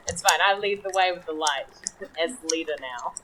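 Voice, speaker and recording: A woman's voice: fairly low pitch, normal speed. A New Zealand woman playing a live tabletop game, bantering in a playful, cheeky way. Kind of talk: bantering improvised play before an audience. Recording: live show with audience noise.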